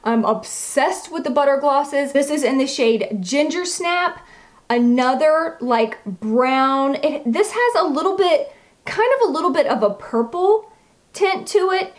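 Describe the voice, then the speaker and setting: A woman's voice: high-pitched, animated, unhurried. A woman chatting casually as a beauty vlogger, gushing and emphatic, with a slightly froggy voice. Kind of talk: casual chatty product review to camera.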